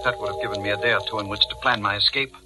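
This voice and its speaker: An actor playing a panicked judge turned murderer, melodramatic and pleading, in 1940s radio thriller style. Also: male voice, on the low side, steady tone, racing, natural voice. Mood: haunted, panicked. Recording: old 1940s radio recording with music.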